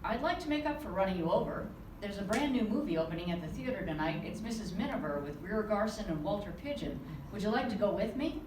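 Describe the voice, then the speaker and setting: A woman author reading aloud from her novel to a live audience, giving the dialogue a lively touch. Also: mid-range voice, fast, few pauses. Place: conference room. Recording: roomy, distant with background noise.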